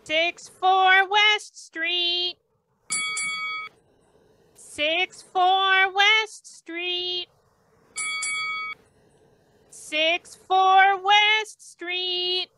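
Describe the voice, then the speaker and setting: A woman playing a hospital dispatcher in an old-time radio drama, deliberately announcing over a noisy, clicking background. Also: extremely high pitch, steady tone, full character voice. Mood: flat announcing. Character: female hospital dispatcher calling out addresses.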